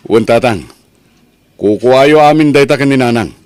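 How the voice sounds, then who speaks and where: A man playing a sociable, dutiful young adult son in an Ilocano drama, with an expressive manner. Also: mid-range voice, moderate pace, clean tone, no room sound, close to an everyday voice. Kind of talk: Ilocano radio-style drama acting.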